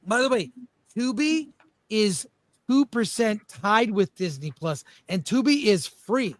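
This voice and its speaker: High-voiced man hosting a panel talk. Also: expressive, takes it slowly, no room sound. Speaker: host of panel show. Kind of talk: presenting statistics in casual panel debate.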